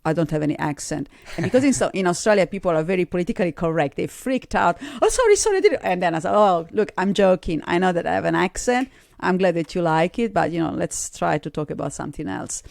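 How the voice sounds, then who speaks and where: A woman's voice: fairly low pitch, lively intonation, fast talker, gravelly, a little mellow, no room sound, clean studio sound. A middle-aged woman from Sardinia with an Italian accent, telling her life story as an interview guest. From Sardinia, Italy. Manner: storytelling, acts out quoted people. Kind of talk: casual interview chat.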